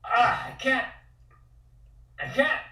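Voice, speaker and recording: A man's voice: extremely high pitch, takes it slowly, sharp tone, heavily acted. A man playing a cocky, foul-mouthed tough gunman in an audio table read. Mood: agonized, panicking. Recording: echoey, distant room sound.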